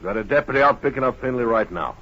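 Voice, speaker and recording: An actor playing a steady, folksy small-town sheriff in an old radio Western, questioning people in a measured, matter-of-fact way. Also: male voice, mid-range voice. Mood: firm, questioning. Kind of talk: 1950s radio Western acting. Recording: muffled old-time radio recording.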